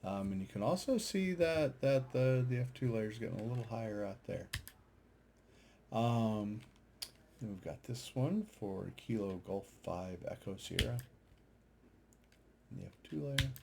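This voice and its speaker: A man's voice: fairly low pitch, expressive, unhurried, gravelly, pauses often, noisy background. A man giving a casual, unscripted commentary over charts, hesitant and thinking aloud as he goes. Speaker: amateur radio operator and video host. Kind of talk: casual screen-share commentary on data charts.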